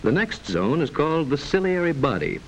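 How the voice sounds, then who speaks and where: A man narrating a 1940s educational film in a formal, measured, didactic manner, reading from a script. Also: fairly high pitch, big pitch swings. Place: voice-over narration recording. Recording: noisy vintage 1940s film soundtrack.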